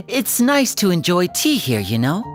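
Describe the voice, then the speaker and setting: A performer voicing a confident, charming young male stage magician in a game, smooth and playful. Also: high-pitched, exaggerated voice. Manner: smooth, playful, self-assured.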